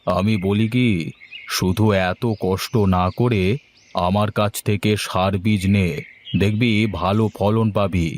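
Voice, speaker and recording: A man's voice: on the low side, fairly even intonation, slow pace, a little hiss or hum. A man voicing a scheming, pushy village seed dealer in a cartoon, with a coaxing, condescending manner. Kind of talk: cartoon dialogue acting.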